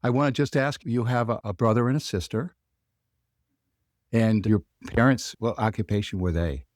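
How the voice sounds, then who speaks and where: A man with a fairly low voice hosting a podcast interview, recorded dry with no room sound at all. He sounds eager and chatty, leading his guest with friendly questions. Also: moderate pace, no echo. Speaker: podcast host.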